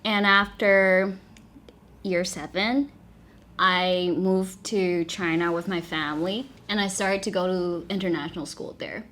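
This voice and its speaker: Bright young Taiwanese woman in conversation. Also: lowish voice, steady tone, unhurried. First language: Mandarin. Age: young adult. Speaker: guest, graduate student. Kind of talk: casual chat.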